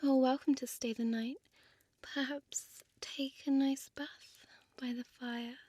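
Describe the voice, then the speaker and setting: An elegant, predatory vampire woman, played by a female actor, whispers seductively in a breathy voice pitched a little high. She speaks very slowly with teasing, possessive formality, close and dry on the microphone like ASMR roleplay. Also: steady tone, dry recording. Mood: coaxing, commanding. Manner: slow, deliberate, hushed, teasing formal speech. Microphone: close dry studio microphone.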